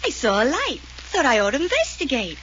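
A woman's voice: sits high, wildly swooping pitch, brisk, fairly bright tone, heavily acted. An actress playing a giddy, secretive young woman who giggles and teases, in 1940s radio acting style, on an old dull recording. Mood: teasing. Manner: giggly, teasing, sing-song. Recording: dull old radio transcription with faint hiss.

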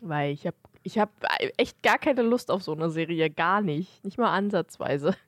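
A woman's voice: on the low side, moderate pace, dry recording. A woman co-hosting a podcast, chatting casually in a blunt, emphatic way. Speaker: podcast co-host. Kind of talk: casual chat.

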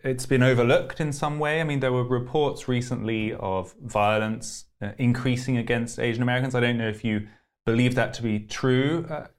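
A man hosting a podcast interview, asking questions in a measured, thoughtful way with hedges. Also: mid-range voice, fairly even intonation. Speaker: podcast host.

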